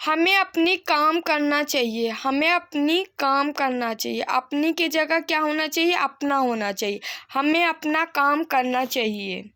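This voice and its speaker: A female teacher delivers an instructional grammar lesson in a high, bright, forward voice. She moves slowly, reading each sentence out, repeating it, then posing a question and answering it herself. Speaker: Hindi grammar teacher for exam candidates.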